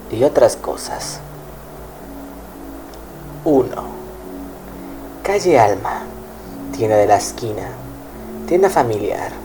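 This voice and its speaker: A man reading a scripted horror narration in a measured, even way. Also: medium pitch. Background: music.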